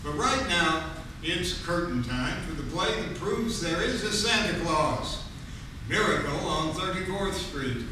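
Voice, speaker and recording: A man's voice: on the high side, background noise. A man playing a genial, showy 1940s radio host and producer, announcing in a formal, theatrical style. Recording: echoey theater, distant, music behind.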